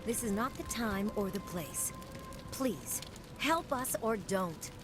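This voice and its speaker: Brusque colonist, music behind. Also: female voice, medium pitch, animated, slow. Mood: curt, stressed. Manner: brusque, clipped, serious. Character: curt, guarded, busy woman space colonist.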